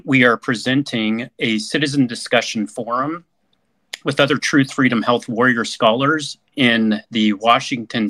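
Male host, upbeat, bright and forward, dry close sound. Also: mid-range voice, dry recording. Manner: upbeat, repeats praise words. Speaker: podcast host. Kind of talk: hosting an online panel forum.

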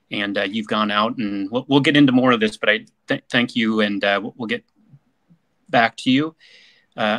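Bright upbeat male podcast host. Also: fairly low pitch, dry recording. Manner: upbeat, repeats praise words. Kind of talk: hosting an online panel forum.

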